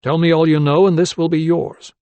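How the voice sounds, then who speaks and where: A man's voice: a little high, little pitch movement, a little fast, clear, resonant tone, dry recording, phone-quality sound, pitched above the performer's own voice, lightly acted. A man voicing a weary, shrewd doctor who asks firm, probing questions. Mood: questioning. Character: weary, shrewd male doctor investigating a death.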